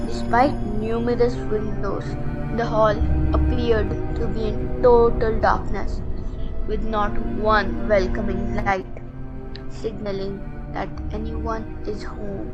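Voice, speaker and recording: A muffled recording of a woman narrating a spooky tale from a script. She speaks slowly in a fairly high voice, with music playing behind her. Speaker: narrator of a radio play.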